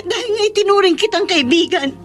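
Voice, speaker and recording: Radio drama casting: loyal young woman, very high voice, earnest and emotional, firm in her principles. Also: lively intonation, quick pace, over music. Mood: wounded, defiant. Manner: earnest, emotional, pleading delivery. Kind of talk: Filipino radio drama acting.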